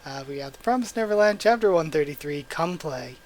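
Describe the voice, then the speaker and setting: A hoarse young man with a cracking voice, hosting a casual manga readthrough and chatting excitedly as he goes. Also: fairly high pitch, a little fast. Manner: chatty, excitable, thinks out loud. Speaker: channel host reading manga aloud. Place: small room at home. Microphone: gaming headset mic.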